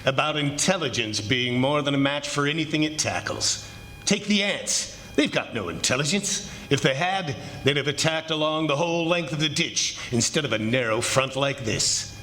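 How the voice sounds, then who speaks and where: A man's voice: on the high side, lively intonation, normal speed, sharp tone. A man playing a cocksure, scornful plantation boss with a commanding, theatrical delivery in 1940s radio drama style. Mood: smug. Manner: commanding, theatrical, barking orders. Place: live radio theatre performance on a college campus. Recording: clearly noisy live stage recording.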